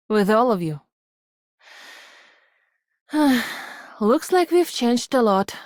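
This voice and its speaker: A woman playing a reflective, quietly grateful outsider with a measured, sincere manner in a game. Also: mid-range voice, dry recording, clean studio sound. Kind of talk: scripted game character greeting.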